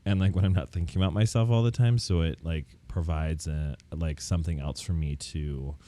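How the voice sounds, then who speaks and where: A man's voice: extremely low pitch, fairly even intonation, fairly quick, mellow. A man chatting casually and candidly, with campy joking slang and a self-deprecating streak. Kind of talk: casual podcast chat.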